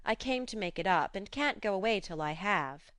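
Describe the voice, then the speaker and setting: A woman voicing a spirited, frank young woman who talks briskly and coaxingly. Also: mid-range voice, lively intonation, fairly bright tone, no echo, lightly acted.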